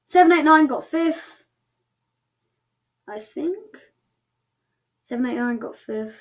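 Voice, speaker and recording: A woman's voice: high voice, lively intonation, slow. A woman casually announcing results as the host of an online show, chatty and thinking aloud as she goes.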